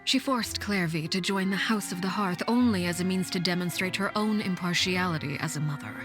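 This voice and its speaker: A low-voiced, menacing woman leader from a video game, played by an actress. She speaks formally and with measured command, often dropping into a breathy hush. Her temper is cold and composed, and soft music plays behind her. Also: natural voice. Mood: grave, detached. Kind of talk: scripted video game voice acting.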